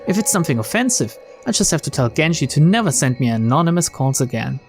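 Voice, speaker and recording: A man reading a visual novel aloud as narrator, in an expressive, dramatic storytelling style. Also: fairly high pitch, fast. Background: faint game music.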